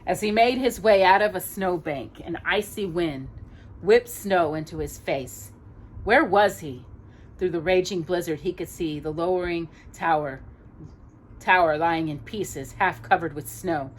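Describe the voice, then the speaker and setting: A woman reading a children's story aloud, expressively, with dramatic, measured phrasing and pauses. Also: lowish voice. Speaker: storytime reader.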